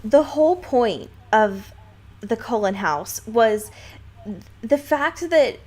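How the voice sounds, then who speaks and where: Woman, fairly high voice, podcast co-host, casual comedic chat, slangy, informal, sweary. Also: expressive, takes it slowly, darkish tone.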